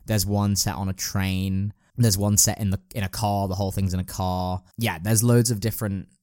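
A man's voice: sits low, flat delivery, normal speed, darkish tone, no room sound, studio quality. A man hosting a podcast, chatting casually and explaining things in a rambling, enthusiastic way. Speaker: podcast host. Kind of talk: casual podcast chat about plays.

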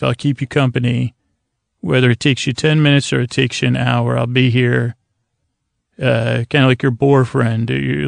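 A man hosting a bedtime podcast, rambling in a slow, languid, gentle and reassuring way with long, meandering pauses. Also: medium pitch, vocal fry, no echo. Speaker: podcast host.

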